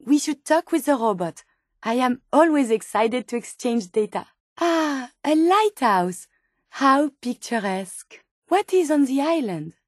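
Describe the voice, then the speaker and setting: Game role: earnest female robot scientist, French accent, high register, precise formal diction, very dry sound. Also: very animated, measured pace, no echo, exaggerated voice. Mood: curious. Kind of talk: video game companion dialogue.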